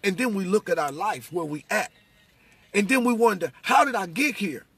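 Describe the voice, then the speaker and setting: A man's voice: sits high, animated, moderate pace, fairly bright tone, slightly rough. A middle-aged American man preaching off the cuff in conversation, emphatic and repetitive, as if testifying. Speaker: religious speaker. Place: inside a parked vehicle.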